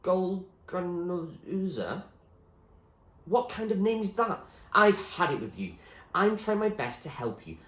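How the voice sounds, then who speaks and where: A man's voice: high voice, sing-song, slow pace, fairly bright tone, reverberant, voice pushed up, full character voice. A man voicing a desperate, frustrated girl in a storybook, pleading urgently and with exasperation. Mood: exasperated. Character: desperate, frustrated girl urging a friend to flee. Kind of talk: acted storybook dialogue.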